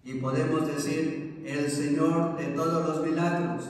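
A man preaching as a priest at Mass in a solemn, measured way, in a large church. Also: on the high side, steady tone. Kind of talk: sermon, reading and preaching. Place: large church interior, standing at the altar. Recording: echoey church hall, from far off.

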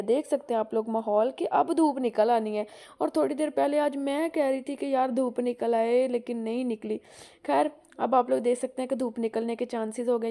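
A young woman, speaking a little higher than most women. She chats loosely and rambles with plenty of fillers, laughing here and there. It is recorded on a phone held as she walks outdoors and around her home. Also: warm tone. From Pakistan. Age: young adult. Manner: chatty, rambling, frequent "jo hai na" fillers, laughs often. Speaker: vlogger. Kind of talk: casual walking vlog narration. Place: outdoors on village roads, then at home. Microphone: phone camera held while walking.

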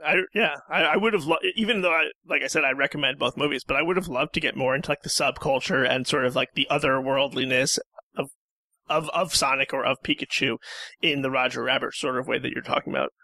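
Fast, very bright-voiced man. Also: medium pitch, dry recording. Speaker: panel guest. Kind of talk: casual film discussion.

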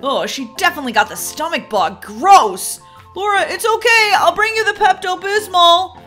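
A woman's voice: extremely high pitch, animated, moderate pace, a bit bright, faint music behind. A woman playing a worried, goofy young husband in a children's skit, fretful and dramatic. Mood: concerned, goofy. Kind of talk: playful skit role-play for children.

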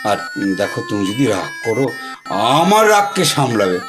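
A woman's voice: rumbling low, sing-song, normal speed. A woman playing a coaxing, playful old Shiva in an audio drama, repeating words as he wheedles. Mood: coaxing, pleading. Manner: wheedling, stammering repetitions of words. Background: music.

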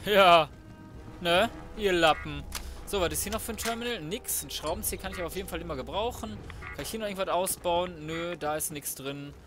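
A man's voice: high-pitched, a little fast, music in the background. A man giving casual gaming commentary, thinking aloud in a colloquial, cheeky way. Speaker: let's player.

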